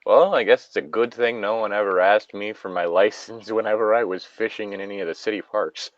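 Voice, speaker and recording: A man's voice: lowish voice, steady tone, fairly quick, no echo, muffled recording. A man casually reading a story aloud as host, breaking off for joking, sweary asides.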